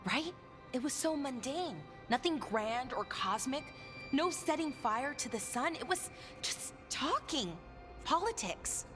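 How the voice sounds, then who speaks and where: A woman playing a candid, earnest elven adventurer in a video game, talking in an expressive, conversational way. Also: high voice, measured pace. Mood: disillusioned. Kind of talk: naturalistic video game dialogue. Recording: faint music behind the voice.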